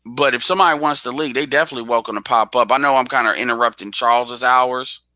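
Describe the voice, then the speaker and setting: A man's voice: medium pitch, fast talker, bright, forceful tone, no echo. A man hosting a live audio stream in casual chat, blunt and profane, talking straight at his listeners.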